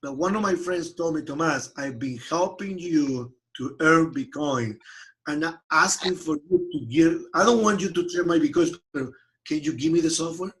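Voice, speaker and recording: A man from Peru talks in a high, bright voice. His English carries a strong Spanish accent and is broken, and he tells his story in an animated, casual interview manner. He sounds a bit distant, as if on a call. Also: moderate pace. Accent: Peruvian Spanish. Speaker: company founder being interviewed.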